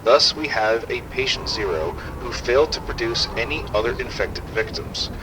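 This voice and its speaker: A man playing a measured, analytical outbreak investigator, giving a precise formal report in a game audio log. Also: medium pitch, expressive, background noise, natural voice. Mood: clinical. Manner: clinical, precise.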